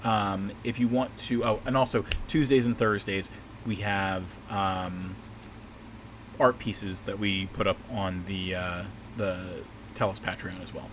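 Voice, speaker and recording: A man's voice: sits low, unhurried. A man with a slightly scratchy voice hosting a casual livestream from a small home desk, chatting loosely with lots of fillers and restarts as he works. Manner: rambling, relaxed, many fillers and restarts. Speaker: livestream host and map maker. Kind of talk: casual livestream chat while working. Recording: steady air-conditioner hum behind voice. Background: air-conditioning hum.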